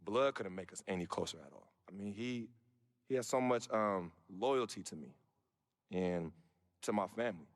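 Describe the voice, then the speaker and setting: An actor playing a loyal, earnest young pro basketball player, speaking casually and hesitantly in a video game cutscene. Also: male voice, fairly low pitch, normal speed, lightly acted. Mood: earnest, defensive.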